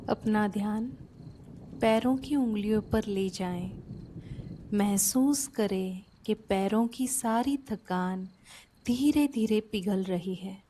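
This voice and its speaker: A woman softly guides a sleep meditation in a soothing, unhurried way, pausing between short phrases. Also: mid-range voice, slight background noise.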